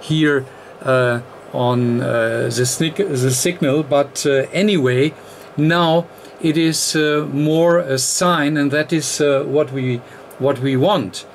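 A man with a non-native English accent giving an unscripted technical explanation as a tutorial presenter, haltingly, with very frequent ums and uhs. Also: fairly high pitch, steady tone. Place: indoor electronics workbench. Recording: clear background noise.

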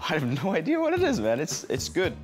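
A man's voice: on the high side, wildly swooping pitch, fairly quick, darkish tone. A man in his twenties from Samoa, talking casually to camera as a featured guest. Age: 20s. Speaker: featured guest, CrossFit athlete. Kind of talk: casual profile interview to camera. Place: gym, indoors. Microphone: lapel. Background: music.

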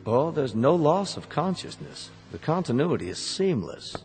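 An actor playing a smooth company salesman in a radio drama, with polished, reassuring patter. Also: on the high side, very animated, normal speed, a little mellow. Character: smooth persuasive salesman of replacement android bodies. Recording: hall reverb with music behind.

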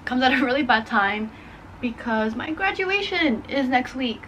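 A woman's voice: sits high, lively intonation, a little slow, a bit bright, slight background noise, low-quality recording. A woman in her twenties, a native Portuguese speaker, chatting casually to the camera in her bedroom, rambling with lots of fillers. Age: 20s. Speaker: vlogger. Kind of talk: casual vlog chat. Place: bedroom at home. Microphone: camera on a desk in front.

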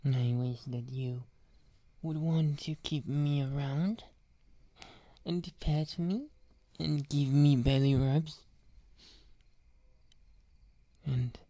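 Woman doing a clingy flirty catboy for asmr, really slow n whispery, low soft voice, close and dry. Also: no echo, full character voice. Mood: yearning, whispering. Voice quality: breathy whispering. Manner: slow, lingering, obsessively affectionate.

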